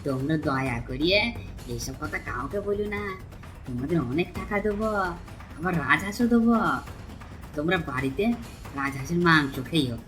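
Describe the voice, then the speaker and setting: A man's voice: a little high, lively intonation, normal speed, pauses often, a bit bright, soft background music. A man voicing a greedy, cowardly village thief in a cartoon, prone to repeat himself nervously. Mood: defensive, bargaining. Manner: stammering, repeating words when nervous. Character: greedy, sneaky village thief Raghu, male, cowardly and pleading. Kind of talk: cartoon voice acting.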